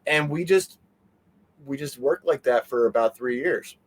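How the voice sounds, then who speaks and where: A man's voice: mid-range voice, unhurried. Casual, rambling man in an interview. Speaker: interview guest.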